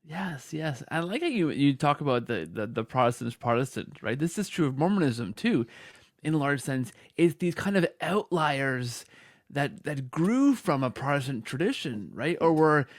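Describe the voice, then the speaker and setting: A man's voice: a little high, big pitch swings, normal speed, no echo, studio quality. A man hosting a conversational interview podcast, talking emphatically and often checking in with "right?". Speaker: podcast host.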